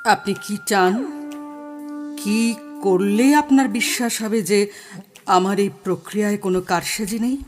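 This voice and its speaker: An actress playing a dignified, solemn spirit medium who speaks deliberately in an audio drama. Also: female voice, medium pitch, faint music behind, lightly acted. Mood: dignified, resolute. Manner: slow, deliberate, incantatory. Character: solemn, dignified female spirit medium, older aunt figure.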